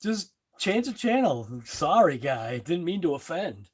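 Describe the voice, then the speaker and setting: A man's voice: on the high side, big pitch swings, slightly rough. A middle-aged man with a Boston accent, casually chatting on a live stream and answering viewers' comments in a rambling, laid-back way. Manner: slow, rambling, with fillers and laughs. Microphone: phone.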